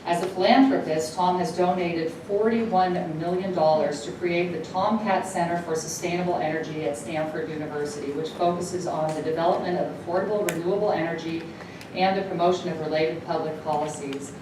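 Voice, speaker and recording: A woman's voice: fairly low pitch, fairly even intonation, fairly quick, distant mic. A woman whose first language is Dutch, introducing and then interviewing a guest before an audience at a university event. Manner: reads the introduction, stumbles and laughs it off. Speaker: host. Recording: echoey hall picked up from afar, noisy.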